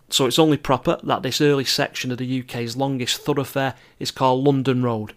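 A middle-aged man narrates a travel podcast at a medium pitch. He reads descriptive scripted prose and drops in chatty asides. His manner is wry and self-deprecating. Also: a bit bright. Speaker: podcast host and travel writer.

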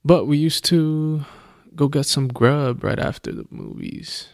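A man's voice: fairly high pitch, unhurried, a little mellow, no echo, clean studio sound. A man chatting casually as a podcast co-host, laid-back and slangy, laughing often. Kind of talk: casual chat. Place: Los Angeles.

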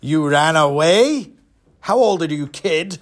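A storyteller voicing a huge, blunt, boisterous male villain with a menacing, booming manner. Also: lively intonation, slow.

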